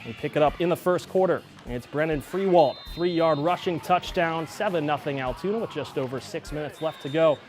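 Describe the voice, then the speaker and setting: A man working as a sports anchor, narrating game highlights from a script with an emphatic play-by-play delivery. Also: normal speed, hardly any pauses, darkish tone, faint music behind.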